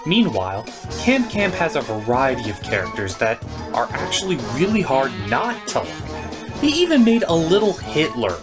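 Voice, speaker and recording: A man's voice: on the high side, wildly swooping pitch, moderate pace. A man giving a scripted, opinionated comedic review as a cartoon critic and host. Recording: music behind the voice.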